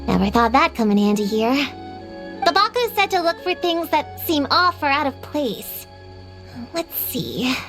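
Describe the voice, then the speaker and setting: A woman's voice: sits high, big pitch swings, unhurried, a bit bright, faint music behind, heavily acted. A woman voicing a determined, slightly grumpy little witch girl in a video game, self-assured and childlike, often muttering to herself.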